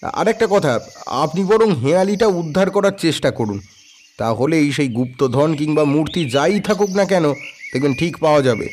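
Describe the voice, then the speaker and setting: Man acting a courteous, higher-voiced estate manager. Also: animated, moderate pace, a little mellow, slight background noise, close to an everyday voice. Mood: hurried courtesy. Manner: polite, hesitant, explanatory. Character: courteous tea-estate manager, guarded but helpful older employee. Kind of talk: audio drama dialogue.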